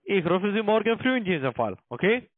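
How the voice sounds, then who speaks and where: A man's voice: high-pitched, very animated, fairly quick, dry recording. Foreign-accented salesman on a dry phone line. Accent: foreign accent in German. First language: not German. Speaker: call center sales agent. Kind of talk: telephone sales call.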